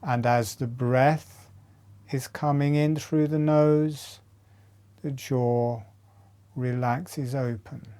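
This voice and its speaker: A man with a mellow, relaxed voice of medium pitch guides a breathing meditation. He speaks extremely slowly, in short measured phrases, leaving long pauses between them as an instructor would.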